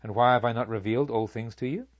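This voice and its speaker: A man voicing a serene Buddha, a kindly sage who teaches in a measured, solemn, rhetorical way in an audiobook. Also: mid-range voice, no echo, lo-fi sound, natural voice. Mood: solemn teaching. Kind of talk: audiobook character speech.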